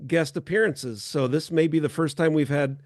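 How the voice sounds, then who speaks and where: A man's voice: on the high side, normal speed, a little mellow, no echo, clean studio sound. A man co-hosting a casual chat show, talking in a playful, bantering way. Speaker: podcast co-host.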